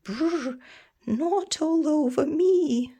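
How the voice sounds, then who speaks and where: A woman voicing excitable little characters who face dragons in a children's storybook, exclaiming their lines. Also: sits high, very slow, pitched above the performer's own voice, exaggerated voice. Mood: shivering, protesting.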